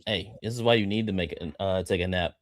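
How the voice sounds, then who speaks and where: A man's voice: low-pitched, quick pace, dry recording. Boisterous American man of thirty, dry sound. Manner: boisterous, slangy, repeats phrases for emphasis. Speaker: livestream co-host. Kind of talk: casual joking livestream chat.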